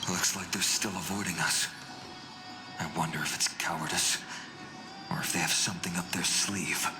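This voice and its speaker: An actor playing a calm, determined young hero in video game dialogue, thoughtful and understated. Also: male voice, deep voice, normal speed, natural voice. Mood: wary, musing. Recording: roomy echo. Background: game music.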